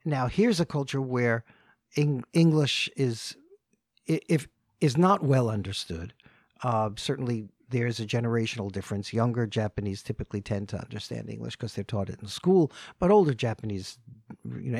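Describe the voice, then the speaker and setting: A man's voice: mid-range voice, a little mellow, slightly rough, dry recording, studio quality. A man co-hosting a podcast, telling stories in a thoughtful, casual way with frequent restarts. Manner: storytelling, thoughtful, many restarts. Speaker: podcast co-host.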